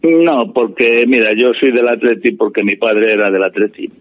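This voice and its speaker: A man's voice: mid-range voice, steady tone, normal speed, no echo, muffled recording. A man, a supporters' club president talking bluntly and plainly in a radio interview. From Madrid, Spain. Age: 80s. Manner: blunt, plain-spoken, opinionated.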